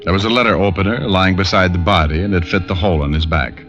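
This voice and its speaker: Low-voiced, wry hard-boiled private eye. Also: male voice, fast, fairly bright tone. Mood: brooding, wry. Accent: American. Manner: dry, wisecracking. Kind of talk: 1940s-50s radio detective acting, first-person narration. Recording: dull old radio recording with music.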